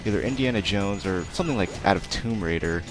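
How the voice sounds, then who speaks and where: A young man giving rambling, casual let's play commentary with a naturally quiet voice. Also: lowish voice, fast. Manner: rambling, chatty, self-deprecating, many fillers. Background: game music.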